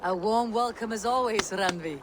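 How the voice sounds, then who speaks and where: An actor playing a proud, stubborn Viking warrior in a video game, terse and defiant with archaic phrasing. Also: male voice, sits high, moderate pace, noisy background, lightly acted. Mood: weary, steady. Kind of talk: video game cutscene acting.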